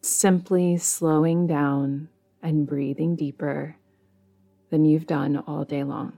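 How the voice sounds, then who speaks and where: Female meditation guide, low register, soothing and measured, gentle music underneath. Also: little pitch movement, gravelly. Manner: soothing, measured delivery with long pauses. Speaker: meditation guide, podcast host.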